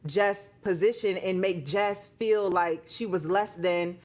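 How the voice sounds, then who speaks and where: A woman's voice: mid-range voice, slow pace, darkish tone. A woman speaking as a talk show panelist, giving her opinions in an emphatic, conversational way.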